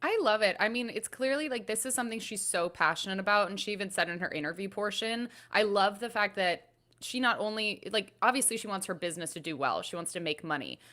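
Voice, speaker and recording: A woman's voice: mid-range voice, animated, fast, sharp tone. A woman panelist on an entertainment recap show, discussing things with enthusiasm in an earnest, explanatory way.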